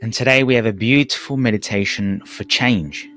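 A man narrating a guided meditation in a calm, measured, soothing way with pauses. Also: on the low side. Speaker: meditation teacher. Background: background music.

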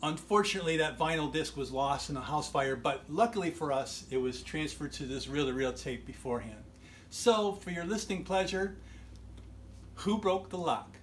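A man's voice: a little high, lively intonation, normal speed. A man in his forties talking casually to camera as the host, recorded in a small furnished room at home. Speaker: host, band musician. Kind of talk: casual talk to camera introducing old tapes. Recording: noticeable background noise.

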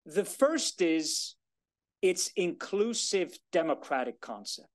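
A man in his thirties giving a formal keynote speech at a lectern in a conference room, filmed from across the room. He talks with emphasis and a deliberate pace, stressing key words. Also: high voice, very animated. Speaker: keynote speaker. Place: conference room, medium size, indoors. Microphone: standing at a lectern, filmed by a far camera.

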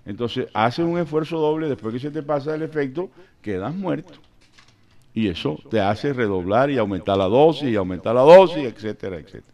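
Older radio host, joking. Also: male voice, mid-range voice, brisk. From Colombia. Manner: animated, joking, rambling. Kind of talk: casual radio chat, storytelling. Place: radio studio. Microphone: studio mic with headphones.

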